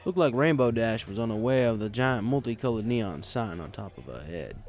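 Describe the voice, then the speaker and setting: A man with a fairly low voice reads first-person fiction. His delivery is wry and conversational, and his pitch moves up and down in a lively way as he tells it. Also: normal speed. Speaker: story reader. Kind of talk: first-person scripted fan fiction narration.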